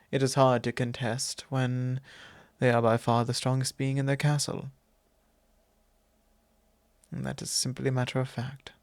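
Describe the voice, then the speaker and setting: Slow, gravelly vampire lord, acted by a man. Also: medium pitch, dry recording. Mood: worried, earnest. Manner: measured, formal, hesitant phrasing with soft breathy drops. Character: courteous, confiding male vampire lord, tender and solemn. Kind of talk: ASMR roleplay, soft intimate monologue. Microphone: close microphone.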